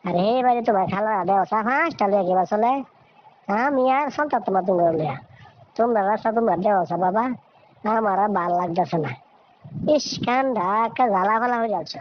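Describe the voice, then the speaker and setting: A woman's voice: medium pitch, fairly quick, natural voice. A woman voicing an exasperated, weary mother bird in a cartoon, scolding and pleading in a rural Bangladeshi Bengali accent, on a dull, noisy recording. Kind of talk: cartoon voice acting. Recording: dull lo-fi audio with noise.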